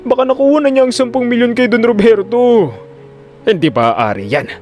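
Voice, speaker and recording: A man's voice: very high voice, animated, moderate pace, darkish tone, pitched above the performer's own voice. A man voicing a frightened, scheming son in an audio drama, anxious and urgent. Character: frightened, scheming son reporting to his mother. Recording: music under the voice.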